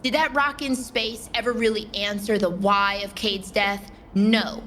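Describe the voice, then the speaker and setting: A woman playing a defiant, impassioned soldier in an audio drama, speaking with forceful, emphatic indignation. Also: medium pitch, fairly even intonation, measured pace, slight background noise, close to an everyday voice. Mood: anguished, angry. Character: defiant, impassioned female soldier guardian standing her ground.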